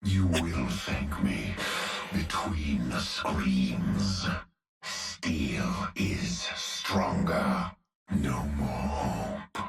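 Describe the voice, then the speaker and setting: A cruel, menacing cyborg brute speaks at an extremely low pitch with a gravelly, mask-muffled growl. He drags every word out at a crawling pace. The game audio sounds echoey and distant, with faint music behind it. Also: lively intonation, full character voice. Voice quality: deep gravelly voice muffled as if through a gas mask. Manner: slow, subdued, menacing delivery. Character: monstrous male cyborg executioner, cruel and menacing. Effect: muffled mask filter.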